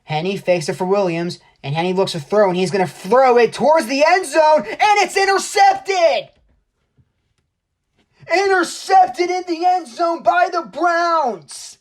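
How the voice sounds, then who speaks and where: A man's voice: extremely high pitch, measured pace. A young man giving play-by-play football commentary as a sportscaster. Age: young adult.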